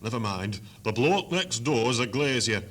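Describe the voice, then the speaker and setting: A man's voice: medium pitch, animated, measured pace, a bit bright, breathy edge. A man playing a naive, whiny northern English son in his twenties in a 1970s hospital radio comedy. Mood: gormless. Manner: plaintive, gormless, exclamatory. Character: naive, whiny, dim 23-year-old son dreaming of fame.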